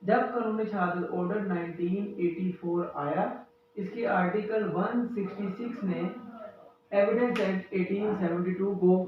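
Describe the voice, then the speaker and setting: The recording is heavily echoing and picked up from a distance, with faint noise. A Pakistani man with a high-pitched voice delivers an instructional lecture. He speaks in a didactic way, restating key phrases as a teacher would. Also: narrow, tinny audio. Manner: didactic, repeats key phrases in Urdu and English. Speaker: lecturer and advocate explaining law. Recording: very echoey room, distant mic, faint noise.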